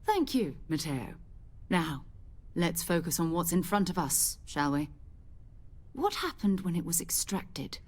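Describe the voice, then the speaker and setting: Commanding female explorer chief for a game: deep for a woman, husky, measured, businesslike. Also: big pitch swings. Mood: composed, probing. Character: composed, authoritative female leader of explorers. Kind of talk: scripted video game dialogue.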